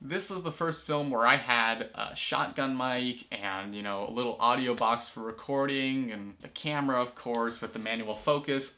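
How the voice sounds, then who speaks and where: A man's voice: mid-range voice, sharp tone. A teenage boy talking casually to camera in a small room, rambling with lots of fillers and restarts. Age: teens. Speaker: student filmmaker and vlogger. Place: small room, indoors, in a corner.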